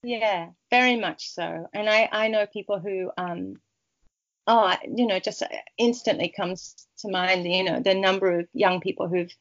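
A woman's voice: mid-range voice, fairly even intonation, unhurried. Rambling woman author, dull lo-fi sound. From Peru. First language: Spanish. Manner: rambling, warm, many restarts and fillers. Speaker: author guest. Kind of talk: casual interview chat.

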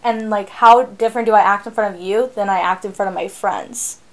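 A teenage girl co-hosting a podcast, chatting casually in an animated, rambling way, often laughing. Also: medium pitch, brisk. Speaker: podcast co-host. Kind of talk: casual chat.

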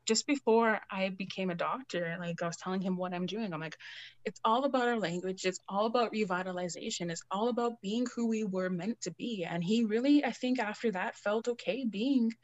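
A woman's voice: medium pitch, a little fast, lo-fi sound. A young woman from Canada, a Cree educator, speaking in an earnest, soothing way and telling personal stories with casual fillers in an interview. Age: young adult. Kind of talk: reflective conversational interview.

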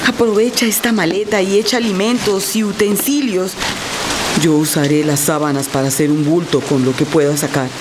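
An actress playing an anxious but resolute provincial wife in a radio drama, with earnest dramatic delivery. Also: female voice, lowish voice, steady tone, moderate pace, background noise, close to an everyday voice. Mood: urgent, resolute. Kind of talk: radio theatre acting.

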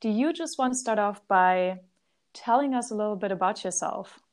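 Podcast host: woman, mid-pitched voice, conversational interview delivery. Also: normal speed, a little mellow.

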